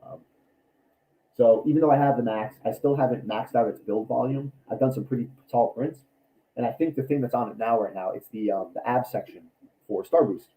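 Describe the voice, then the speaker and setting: Raspy man, dark mellow voice, rambling casual livestream host. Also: mid-range voice, fairly quick, low-quality recording. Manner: rambling, many ums and restarts. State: raspy from allergies. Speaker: cosplay maker and YouTuber.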